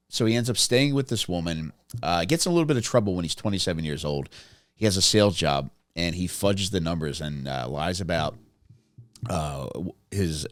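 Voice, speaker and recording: A man co-hosting a comedy podcast, recounting a true crime case with deadpan, teasing jokes. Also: low voice, dry recording, studio quality. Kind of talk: joking true-crime storytelling.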